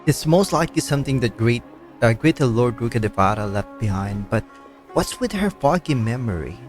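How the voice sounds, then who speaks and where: A man's voice: mid-range voice, lively intonation, fairly quick, soft background music, natural voice. A man voicing a level-headed young traveler in a video game, thoughtful and understated. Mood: concerned.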